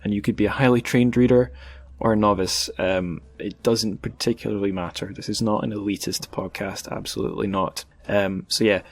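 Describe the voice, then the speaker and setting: Casual podcast host, lowish-voiced man. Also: steady tone, moderate pace. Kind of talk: casual podcast outro and chat.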